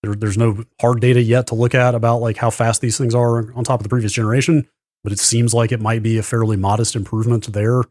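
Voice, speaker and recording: A man's voice: medium pitch, little pitch movement, quick pace, a little mellow, no echo, studio quality. A man co-hosting a podcast, explaining things casually and in detail with careful qualifications. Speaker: podcast host. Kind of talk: casual tech news discussion.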